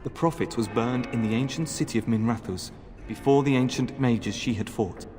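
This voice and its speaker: A man's voice: mid-range voice, normal speed, warm tone, close to an everyday voice. A man playing a stern, devout temple flame keeper in a fantasy video game, solemn, curt and preachy. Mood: solemn, dutiful. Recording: long hall reverb, music behind.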